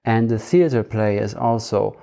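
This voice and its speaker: Thoughtful man with a creaky, mellow voice. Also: on the low side, normal speed. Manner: thoughtful, searching, repeats phrases. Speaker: theatre practitioner in conversation. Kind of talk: casual reflective discussion.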